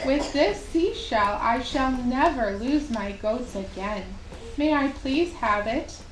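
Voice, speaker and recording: Woman as a polite, eager young goatherd boy, high-pitched. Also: expressive, slow, recorded from a distance. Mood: earnest. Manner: polite, earnest, wondering. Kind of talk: children's puppet show storytelling. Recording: roomy live recording with faint noise.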